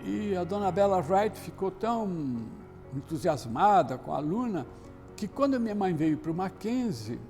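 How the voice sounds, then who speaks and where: A Brazilian man recalling memories in an interview, unhurried and reflective, pausing as he goes. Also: high voice, sing-song, a little mellow, soft background music. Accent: Brazilian Portuguese. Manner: unhurried, reflective, with pauses and restarts. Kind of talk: reminiscing testimony.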